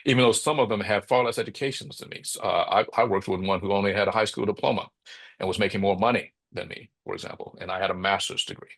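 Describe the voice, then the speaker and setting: A middle-aged man with a bass voice, speaking reflectively as an interview guest, often checking in with "right?" and restarting his phrases. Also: fairly even intonation, fast talker, creaky, a bit bright. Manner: thoughtful, frequent "right?" tags and restarts. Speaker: podcast guest.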